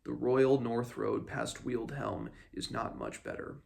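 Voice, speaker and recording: A man's voice: medium pitch, very animated, slow, creaky, darkish tone, exaggerated voice. A man reading a fantasy novel aloud as a blunt, grave lord making a formal, firm appeal to his king. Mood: grave urgency.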